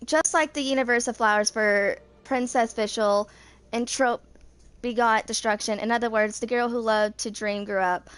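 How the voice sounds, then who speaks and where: Smooth, higher-pitched young woman reading a story. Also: steady tone, moderate pace, a bit bright, no echo. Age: 20s. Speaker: reader of game character lore. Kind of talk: read-aloud story narration.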